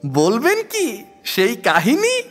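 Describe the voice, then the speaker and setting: A man's voice: very high-pitched, wildly swooping pitch, slow pace, soft background music. A man narrating a scripted horror story in a theatrical, suspenseful way.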